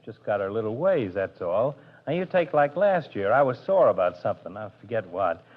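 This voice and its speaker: An actor playing a chatty, soft-hearted working-class barber who rambles folksily in a 1940s radio comedy. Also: male voice, fairly low pitch, sing-song, fairly quick. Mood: folksy. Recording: muffled vintage radio broadcast.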